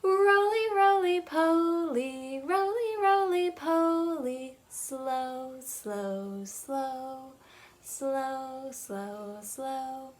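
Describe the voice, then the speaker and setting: High-voiced, unhurried children's librarian woman. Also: expressive. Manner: sing-song, teacherly, lots of hand gestures. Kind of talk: children's storytime with songs and signing. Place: small playroom with shelves, indoors.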